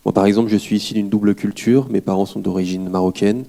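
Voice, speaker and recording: Audience man from France; deep, dark voice with fry, earnest and halting. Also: steady tone. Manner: polite, earnest, halting with fillers. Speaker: audience member at a public debate. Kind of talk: public question from the floor.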